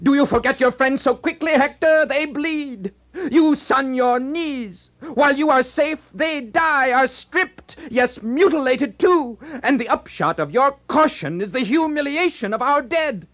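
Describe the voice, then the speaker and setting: A man's voice: very high-pitched, animated, measured pace, a bit bright, pitched above the performer's own voice, full character voice. A man voicing a wounded warrior who prays and reproaches with anguish in a storybook reading. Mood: bitter reproach. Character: wounded male warrior praying, anguished, urgent.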